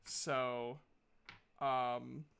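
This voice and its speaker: A man casually narrating a tabletop game as game master, halting and thinking aloud as he goes. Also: mid-range voice, little pitch movement, creaky, noisy background.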